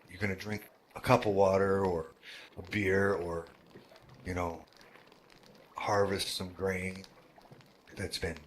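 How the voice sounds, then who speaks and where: A man with a deep voice who runs a roleplay world, talking casually to his group, halting, with many fillers and restarts. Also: fairly bright tone, slight background noise. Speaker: roleplay game world organizer.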